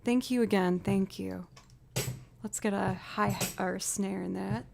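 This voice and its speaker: A woman with a low alto voice, livestreaming as a musician and chatting casually while she builds loops, often thinking out loud. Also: fairly even intonation, a little slow, gravelly, noisy background. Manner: chatty, talks aloud to herself.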